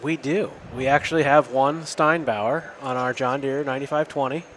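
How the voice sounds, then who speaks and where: A farmer co-hosting a podcast talks plainly and to the point. His voice is mid-pitched for a man, with faint noise in the background. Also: steady tone, normal speed, fairly bright tone. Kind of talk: casual podcast chat.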